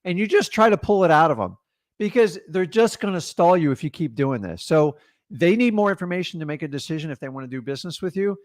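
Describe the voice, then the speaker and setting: A man in his forties coaching on selling, talking to camera in a home studio with a studio mic, punchy and animated, acting out little dialogues. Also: a little high, fast, no echo. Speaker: real estate coach. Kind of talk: instructional talk to camera. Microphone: studio mic on boom arm.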